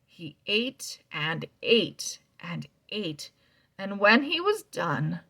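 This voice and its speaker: A woman reading a children's novel aloud, slow and expressive, sometimes dropping to a soft whisper. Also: medium pitch, vocal fry. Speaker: read-aloud narrator.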